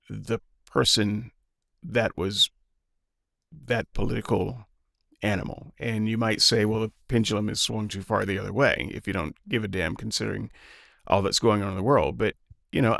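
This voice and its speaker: A man in his fifties talking casually and reflectively, pausing often with fillers and restarts. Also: fairly low pitch, creaky, no room sound, studio quality. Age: 50s. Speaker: podcast guest. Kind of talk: casual opinion talk.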